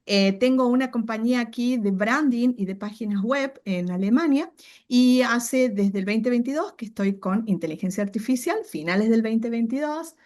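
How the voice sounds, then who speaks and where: A woman's voice: on the high side, moderate pace, a little mellow. Middle-aged woman with Cordobese Argentine accent. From Córdoba, Argentina. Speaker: podcast guest, AI and branding entrepreneur. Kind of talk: casual interview chat.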